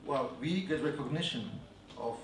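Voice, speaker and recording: A man with a Polish accent giving a conference talk on advertising, halting and full of fillers and restarts. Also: medium pitch, slight background noise. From Poland. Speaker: advertising agency speaker. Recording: echoey room from a distance.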